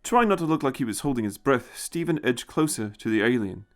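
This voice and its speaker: A man's voice: medium pitch, animated, brisk. A man reading a scripted science fiction story as its narrator.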